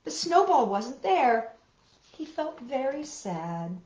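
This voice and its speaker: A middle-aged woman reading picture books and chatting to young children as a storytime host, expressive and deliberate, with emphatic repetition, recorded indoors in a living room. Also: sits high. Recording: boxy roomy sound, dull lo-fi.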